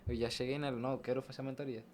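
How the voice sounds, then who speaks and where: A man's voice: medium pitch, flat delivery, rapid-fire, a little hiss or hum. A young adult man with a Brazilian Portuguese accent, a podcast guest telling personal stories casually and acting out what was said. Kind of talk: casual personal storytelling in an interview.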